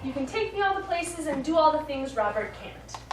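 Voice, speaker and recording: A woman on stage plays a shrewd, discontented wife, coy and sardonic. Her voice is high and very animated in pitch. The live theatre sound is distant and echoey, with faint noise. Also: fairly quick. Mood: coy, wry. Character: shrewd, teasing, discontented rich man's wife. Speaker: stage actor. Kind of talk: live stage comedy acting. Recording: echoey, noisy stage recording from afar.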